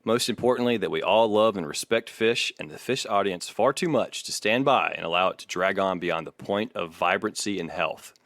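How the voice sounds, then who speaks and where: A man's voice: low-pitched, normal speed, hardly any pauses, fairly bright tone, no echo. A man hosting a casual music podcast, with a wry, sardonic manner. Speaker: podcast host.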